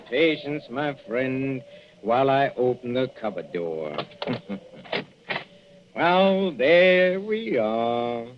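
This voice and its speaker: An actor playing a gloating, murderous older professor in 1940s radio suspense style, mock-courteous and savoring every hesitation. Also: male voice, mid-range voice, a little hiss or hum. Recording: muffled, dull old radio recording.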